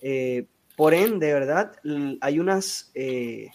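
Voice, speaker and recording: A man's voice: on the high side, slow pace, darkish tone. A man hosting a podcast interview, talking hesitantly with many fillers and tag questions. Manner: hesitant, frequent fillers and "¿verdad?". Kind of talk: casual interview.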